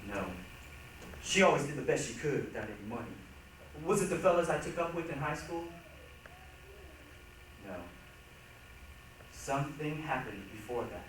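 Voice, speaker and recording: A man on stage plays a bitter ex-convict facing his old teacher, speaking with pointed deliberation. His lively, rising and falling delivery comes from far off in a very echoey, noisy hall. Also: a little high. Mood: brooding, menacing. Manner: deliberate, pointed, simmering with grievance. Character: resentful ex-convict, former pupil confronting his old schoolteacher. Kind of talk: stage reader's theatre acting.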